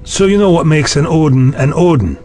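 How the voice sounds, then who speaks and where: A man's voice: a little high, expressive, a little fast, darkish tone, soft background music. A man playing a sceptical, plain-spoken and anxious human ally in an audio drama, questioning and wry.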